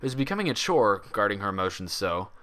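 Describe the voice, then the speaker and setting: Male narrator for dramatic fiction, lively intonation, bright forceful tone. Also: fairly low pitch, quick pace. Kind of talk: dramatic scripted story narration.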